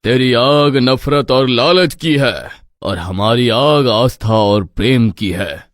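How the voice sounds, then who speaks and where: A man's voice: mid-range voice, slow pace, no echo, studio quality, lightly acted. Man as a solemn, righteous village holy man. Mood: stern, defiant. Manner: solemn, commanding, declamatory. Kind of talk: dramatic moral-tale voice acting.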